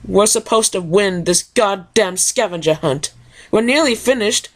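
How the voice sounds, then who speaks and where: Prim fancy pony lady, all indignant and lecture-y, high voice, reader doing it. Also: a little slow, fairly bright tone. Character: prim elegant female pony, dramatic.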